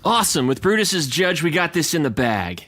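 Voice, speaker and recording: A man's voice: on the high side, sing-song, moderate pace, very noisy. A man playing a casual adventurer who leads the team in an audio drama. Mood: casual, keen.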